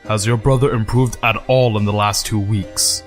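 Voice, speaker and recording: A man playing a stern, scheming middle-aged clan leader and father in an audio drama, formal and deliberate. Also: lowish voice, fairly even intonation, soft background music, natural voice. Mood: stern, calculating. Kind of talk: fan audio drama acting.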